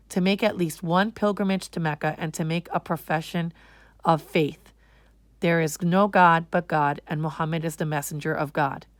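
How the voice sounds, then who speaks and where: Woman doing a kids book read-aloud, slightly low voice, very dry close sound. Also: no room sound. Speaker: storybook reader. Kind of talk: scripted read-aloud narration of a children's story.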